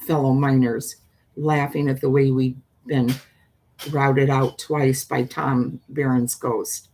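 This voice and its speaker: An older woman with a husky voice and a folksy, meandering manner, talking as a storyteller hosting her own live stream. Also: a bass voice, darkish tone. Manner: folksy, meandering, often trailing off and repeating. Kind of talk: casual rambling chat with viewers; reading a spooky story aloud.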